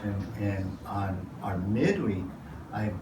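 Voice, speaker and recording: Pastor, male, low-ish voice, loose rambling teacher; distant mic in an echoey, noisy room. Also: slow pace. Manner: relaxed, rambling, many "yeah" and "you know". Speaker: pastor leading a worship workshop. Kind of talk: casual workshop Q&A teaching. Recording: roomy, far from mic, noisy.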